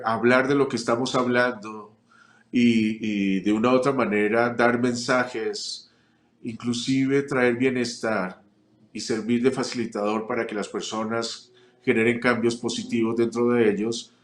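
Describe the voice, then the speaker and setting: A man from Chile, a family constellations teacher, answering interview questions reflectively, with frequent pauses and restarts. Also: medium pitch, little pitch movement, reverberant. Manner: reflective, many fillers and restarts. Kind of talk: relaxed interview conversation.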